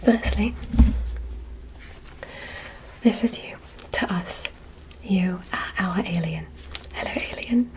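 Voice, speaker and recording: A woman playing a polite, curious female alien medical examiner in an ASMR roleplay, in a soft breathy whisper with formal, stilted phrasing. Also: fairly low pitch, rough recording. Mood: courteous, curious. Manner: formal, oddly stilted, deliberate, reassuring.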